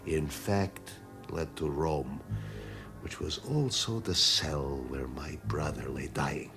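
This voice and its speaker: Radio drama villain: dandyish crime lord, slow and gravelly, mock-polite menace, hushed intensity. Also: male voice, extremely low pitch, heavily acted. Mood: brooding, haunted. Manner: theatrical, mock-courteous, deliberate, dropping into hushed intensity. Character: menacing, dandyish male crime boss, vengeful and courteous. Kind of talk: 1980s radio drama acting.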